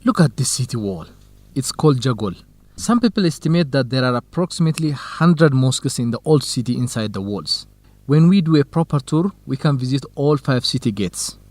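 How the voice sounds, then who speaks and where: A friendly, curious male field researcher acted by a man in a radio drama. He explains things conversationally in a mid-pitched voice, recorded close and dry. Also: expressive, brisk, darkish tone, dry recording, lightly acted. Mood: enthusiastic. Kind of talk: scripted educational radio drama dialogue.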